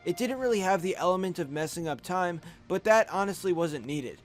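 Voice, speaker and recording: A man's voice: high voice, lively intonation, fairly quick, faint music behind. A young adult man giving scripted top-five countdown commentary as a video host, speaking into a close microphone. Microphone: close USB studio microphone.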